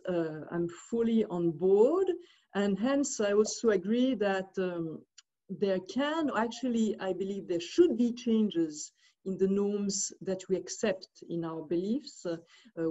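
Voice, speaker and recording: A woman's voice: medium pitch, a little slow, dark tone, lo-fi sound. A woman from Switzerland giving an academic commentary over a video call, speaking hesitantly with many fillers and restarts. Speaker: discussant.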